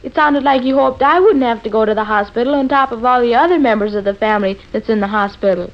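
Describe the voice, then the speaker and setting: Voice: medium pitch, fast, close to an everyday voice. A young performer playing a talkative, literal-minded American boy with a folksy, slangy manner in 1940s radio comedy. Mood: quibbling. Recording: dull old radio broadcast recording.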